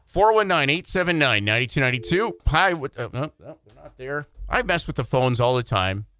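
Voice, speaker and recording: A man's voice: mid-range voice, sing-song, normal speed, sharp tone, soft background music. A man hosting a morning radio show with upbeat, playful banter into a close studio microphone. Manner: playful, joking.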